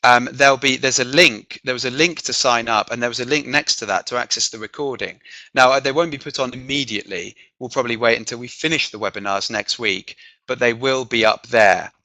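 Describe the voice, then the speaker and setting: A man from the south of England, with an accent mixing southern and northern English, presenting a teaching webinar. He checks in with "okay?" often and fills pauses with um. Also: mid-range voice, pushed and bright, no echo, poor audio. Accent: mixed southern and northern English. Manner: frequent "okay?" check-ins and filler ums. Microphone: computer microphone on a video call.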